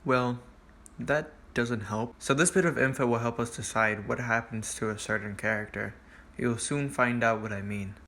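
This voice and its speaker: A man's voice: mid-range voice, fairly even intonation, normal speed, pushed and bright, a little hiss or hum. A man hosting an explainer video, reading scripted commentary as narration. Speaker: host of a horror series explainer video.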